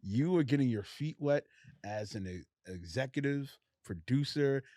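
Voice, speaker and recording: A man's voice: mid-range voice, expressive, unhurried, dark tone, no room sound, studio quality. Slangy, rambling male podcast host. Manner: loose, slangy, rambling questions full of fillers. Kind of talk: casual interview chat.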